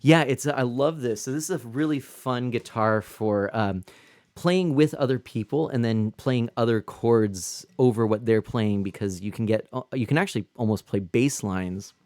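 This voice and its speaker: A man chats casually on his own live stream, upbeat and talkative, with easy laughs and plenty of fillers. His medium-pitched voice sits close to a streaming microphone in a dry, dead room. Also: moderate pace, darkish tone, no echo. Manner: upbeat and chatty, laughs often, many fillers.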